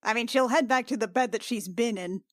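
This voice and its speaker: A woman's voice: fairly high pitch, fairly quick, dry recording. Close, dry-sounding woman at a tabletop game. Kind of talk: casual chat and character narration.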